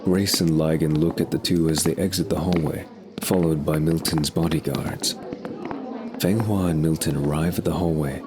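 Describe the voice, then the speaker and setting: A man's voice: a bass voice, steady tone, normal speed, noisy background. A man narrating a scripted audio drama in a descriptive storytelling way.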